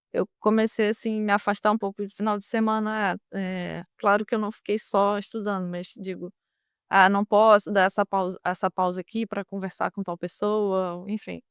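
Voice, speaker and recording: A woman's voice: medium pitch, little pitch movement, moderate pace, clear, resonant tone, no echo, clean studio sound. A young woman with a Brazilian Portuguese accent, a guest in an interview, answering casually with many hesitations and restarts. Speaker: guest, former student now a merchant navy officer.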